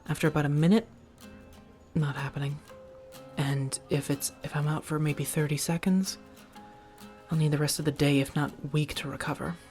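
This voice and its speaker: Woman playing a low, soft-spoken female vampire. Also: natural voice. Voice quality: soft, breathy whisper at times. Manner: measured, halting, pausing between phrases. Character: protective, secretive female vampire roommate, worldly and wry. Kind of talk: soft-spoken ASMR roleplay. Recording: music under the voice.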